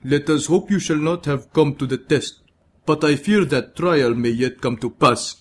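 A man voicing a gigantic, gentle Ethiopian swordsman in a story, measured and courtly. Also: on the high side, lightly acted. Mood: grave. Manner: measured, courtly old-world speech.

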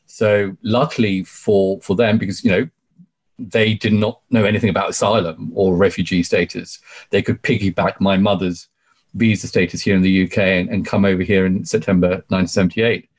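A British man in his forties talks as an interview guest in a fairly low voice. He is talkative and emphatic, telling his own story conversationally and often dropping in "you know". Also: fairly even intonation, normal speed, husky. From UK, born in Sri Lanka. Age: 40s. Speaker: podcast guest, barrister.

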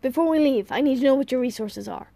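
Woman putting on an excitable, high-pitched old grandfather. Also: quick pace, gravelly, no room sound. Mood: urgent, intent. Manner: exclamatory, quaint old-fashioned phrasing. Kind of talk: audiobook character voice.

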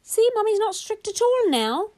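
A woman's voice: extremely high pitch, sing-song, normal speed, a little mellow, pitched above the performer's own voice. A woman voicing a cheeky, innocent young child in a bedtime-story style. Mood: cheeky, apologetic.

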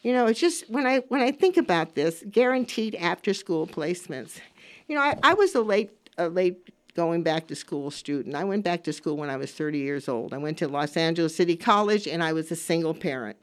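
A woman's voice: fairly low pitch, lively intonation, a little fast. A woman in her seventies hosting a talk radio show, speaking casually and emphatically, repeating phrases for stress as she gives her opinions. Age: 70s. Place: radio studio.

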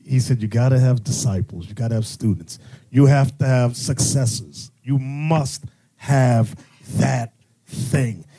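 A man's voice: medium pitch, measured pace, warm tone, slightly rough. A man teaching a congregation as bishop, preaching with call-and-response questions and sudden emphatic bursts. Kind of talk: sermon-style teaching.

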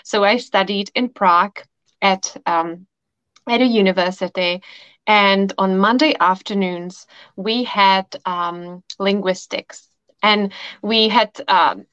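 A woman from the Republic, a podcast guest telling stories in a heartfelt, unhurried, reflective way. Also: mid-range voice, a bit bright, dry recording. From Czech Republic. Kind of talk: warm storytelling chat. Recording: dull dry lo-fi audio.

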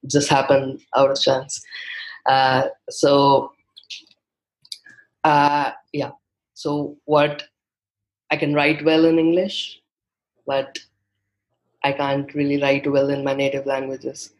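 Man from India, flat delivery, fillers and restarts, interview guest. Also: medium pitch, slow pace, pauses often, low-quality recording. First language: Hindi. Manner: thoughtful, with frequent fillers and restarts. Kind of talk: conversational interview.

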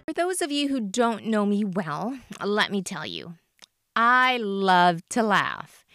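A woman's voice: mid-range voice, fairly bright tone, no room sound, studio quality. A woman hosting a podcast, reading an upbeat scripted introduction to her guest. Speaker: podcast host.

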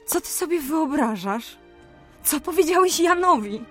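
A woman's voice: high-pitched, expressive, unhurried, a bit bright, faint music behind. An actress playing a spirited, outspoken young woman in a historical radio drama, with a direct, articulate way of talking. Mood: indignant.

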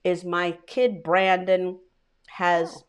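A woman's voice: on the low side, level tone, drawn-out words, clear, resonant tone. A chatty New woman, a little tipsy, co-hosting a casual podcast. She laughs easily and keeps restarting and trailing off her sentences. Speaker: podcast co-host. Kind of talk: casual chat.

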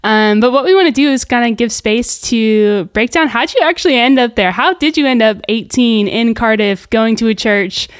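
A woman's voice: fairly high pitch, moderate pace, few pauses, clear, resonant tone, no echo, studio quality. A woman hosting a podcast interview, enthusiastic and affirming, often saying wow and yeah. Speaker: podcast host. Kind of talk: casual interview chat.

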